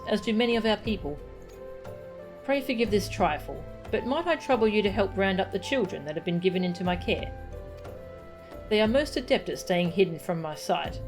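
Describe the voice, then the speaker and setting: A woman's voice: medium pitch, big pitch swings, normal speed, music in the background, natural voice. A woman voicing an earnest, courteous warrior who guards children in a fantasy game, speaking formally. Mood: courteous, humble.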